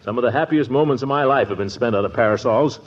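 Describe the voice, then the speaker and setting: An actor playing a pompous, flustered middle-aged uncle in a 1940s radio comedy, with a blustering delivery. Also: male voice, mid-range voice, quick pace, natural voice. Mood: flustered, indignant. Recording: noisy, muffled 1940s radio recording.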